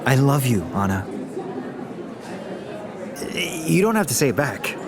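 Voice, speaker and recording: A man's voice: medium pitch, big pitch swings, slow pace, a crowd in the background. Young male romantic lead, audio drama. Earnest, reassuring, awkwardly playful, mid register, swinging intonation. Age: young adult. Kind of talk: naturalistic audio drama dialogue.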